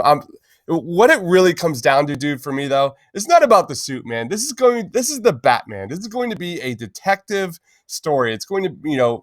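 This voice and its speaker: A man's voice: a little high, no echo, studio quality. A man hosting a casual pop-culture podcast, talking informally and enthusiastically with plenty of slang. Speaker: podcast host.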